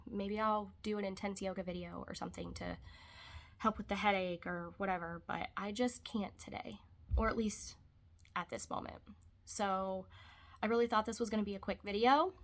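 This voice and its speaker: A woman's voice: mid-range voice, steady tone, moderate pace. A young adult woman vlogging from her couch at home, congested, rambling in casual chat. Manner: rambling, chatty, trailing off into quiet asides.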